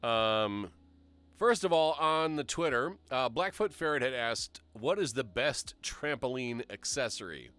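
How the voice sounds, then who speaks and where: A man hosting a casual live-stream talk show, reading viewer questions aloud in a relaxed, rambling way. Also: mid-range voice, unhurried. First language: English. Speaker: show host.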